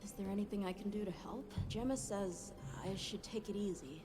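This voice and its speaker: A woman voices an anxious child in a video game, full of self-doubt. The delivery is hesitant and mid-pitched, with music clearly behind it. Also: brisk, natural voice. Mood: worried, dejected. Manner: worried, hesitant.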